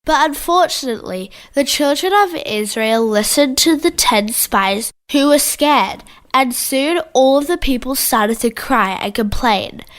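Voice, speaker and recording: Australian female co-narrator, somewhat high-pitched, scripted kids' storytelling. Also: lively intonation, a little slow, hardly any pauses. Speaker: children's Bible story co-narrator.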